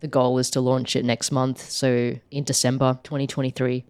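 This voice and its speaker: A woman with a croaky voice from being sick chats loosely and fills her sentences with "like". The sound is dry and close, with no room around it. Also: rumbling low, flat delivery, normal speed, a little mellow, no echo. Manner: chatty, many "like" fillers, laughs easily. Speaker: podcast co-host, app maker. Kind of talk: casual chat.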